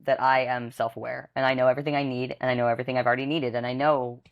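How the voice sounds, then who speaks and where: A woman's voice: a bass voice, level tone, very fast, dull audio. Woman in her twenties. Earnest, rambling guest who keeps restarting her sentences. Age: 20s. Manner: earnest, rambling, self-correcting. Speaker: podcast guest. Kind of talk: candid personal testimony.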